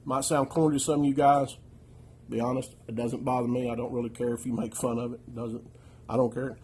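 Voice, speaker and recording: Nasal, husky-voiced American outdoorsman. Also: medium pitch, flat delivery, fairly quick, darkish tone. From United States. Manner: plainspoken, folksy, keeps checking in with "okay?". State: getting over a chest infection. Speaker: outdoors channel host. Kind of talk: casual gear walkthrough. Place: living room, indoors.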